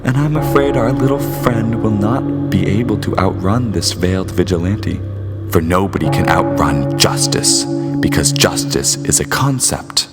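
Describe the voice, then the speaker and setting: Man as a very deep, ominous radio storyteller. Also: unhurried. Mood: grave, suspenseful. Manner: florid, portentous. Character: ominous, theatrical male storyteller of a noir radio serial. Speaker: host and narrator. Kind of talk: dramatic old-time radio narration. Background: loud music.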